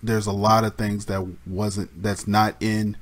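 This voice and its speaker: A man with a slightly raspy voice, casually explaining a game mode in a rambling way, with restarts and many ums. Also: fairly low pitch, little pitch movement, a little slow, slight background noise. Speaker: gaming YouTuber.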